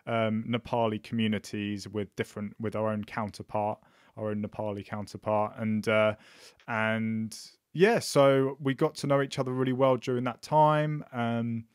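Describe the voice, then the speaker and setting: A man hosting a podcast, talking in a relaxed, hesitant way with many ums and restarts. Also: lowish voice, normal speed, clean tone, no echo, studio quality. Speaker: podcast host. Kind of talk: casual conversational interview.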